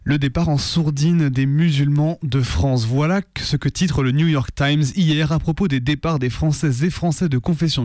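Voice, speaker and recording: A man's voice: medium pitch, darkish tone, no room sound, studio quality. A man presenting scripted political commentary on the radio in an earnest, militant manner.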